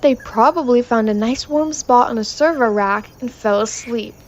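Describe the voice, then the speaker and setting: An actress playing a disgruntled, deadpan, sarcastic spaceship mechanic in a sci-fi comedy audio drama. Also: female voice, a little high, moderate pace, close to an everyday voice.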